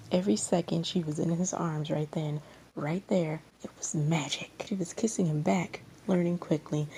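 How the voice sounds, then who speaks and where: A woman reading fan fiction aloud as an expressive storyteller. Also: lowish voice, creaky, low-quality recording. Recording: amateur recording with background noise.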